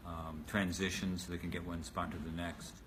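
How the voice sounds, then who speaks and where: A man's voice: sits low, little pitch movement, normal speed. A man talking behind the scenes as a puppet show director, explaining things in loose, hands-on everyday terms. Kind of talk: behind-the-scenes interview talk. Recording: clear background noise, rehearsal room ambience.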